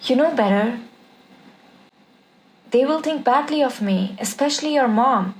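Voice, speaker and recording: Young female lead, slightly high-pitched, upset and pleading with family; table-read drama woman acting. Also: unhurried, poor audio. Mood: anxious.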